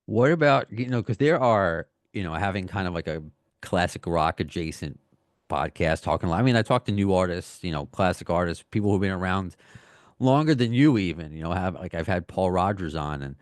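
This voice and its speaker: Male podcast host, very deep voice, hesitant rambling questions, dry close recording. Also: animated, brisk, dry recording. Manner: rambling, hesitant, many "like" fillers. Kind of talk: interview questions.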